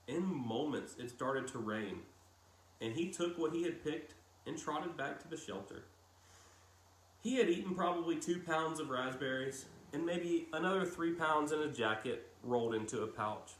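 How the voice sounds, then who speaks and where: A man reading a novel aloud as a narrator, with measured phrasing and dramatic pauses and emphasis. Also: mid-range voice. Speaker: audiobook-style reader. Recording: roomy echo with clear background noise.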